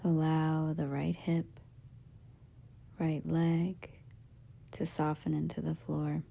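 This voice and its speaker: Female meditation guide, husky half-whisper with creaky edges, slow and soothing. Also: low-pitched, monotone. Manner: gentle, soothing, measured instructions in short phrases with pauses. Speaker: yoga nidra meditation teacher.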